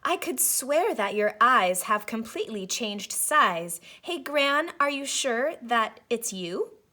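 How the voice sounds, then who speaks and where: Plucky young storybook girl, played by a woman: high, bright, wide-eyed and exclaiming. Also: very animated, slow, full character voice. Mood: astonished, suspicious. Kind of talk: storybook character voice.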